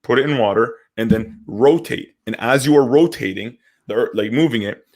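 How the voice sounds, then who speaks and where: A man's voice: mid-range voice, moderate pace, darkish tone. A man in his twenties from Syria and Canada, chatting casually and hesitantly as a podcast co-host, often repeating words as he goes. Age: 20s. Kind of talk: casual live discussion.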